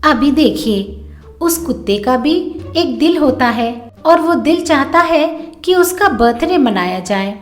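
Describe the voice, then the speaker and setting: A woman's voice: high-pitched, animated, measured pace, echoey room. A woman voicing a cheerful, kind-hearted, naive young daughter-in-law in a storybook cartoon, explaining things sweetly and earnestly. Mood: earnest, pitying. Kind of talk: animated storybook voice acting.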